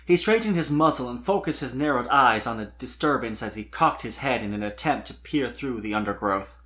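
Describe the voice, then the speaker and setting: Fan fiction narrator, medium pitch, scripted storytelling, dramatic delivery. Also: lively intonation, fairly quick, fairly bright tone.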